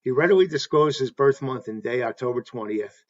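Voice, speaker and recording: A man hosting a tribute talk, reading anecdotes in a halting way and correcting himself as he goes. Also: mid-range voice, animated, darkish tone, highs cut off. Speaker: host paying tribute to a stadium announcer.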